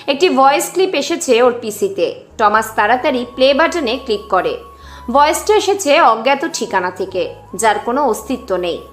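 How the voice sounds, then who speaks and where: A woman's voice: fairly high pitch, lively intonation, normal speed. A woman narrating a scripted dramatic story as its storyteller. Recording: roomy echo with music underneath.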